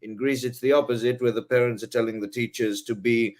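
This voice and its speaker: A man's voice: medium pitch, fairly even intonation, a little fast, a little mellow. A man with an English accent, talking as a guest in a casual interview, haughty and rambling, full of ums and rhetorical "right?". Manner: haughty airs. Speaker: guest author.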